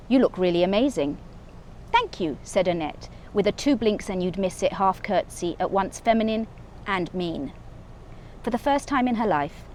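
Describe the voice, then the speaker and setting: A woman librarian reading a short story aloud from home in a calm, low-key way. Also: a little hiss or hum.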